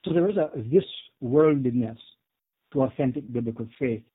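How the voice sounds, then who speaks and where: A middle-aged man with a Jamaican accent, a professor answering interview questions in a measured, thoughtful way over the phone. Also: mid-range voice, husky. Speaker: guest theology professor. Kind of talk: earnest explanatory interview answers. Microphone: telephone. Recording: phone-line audio.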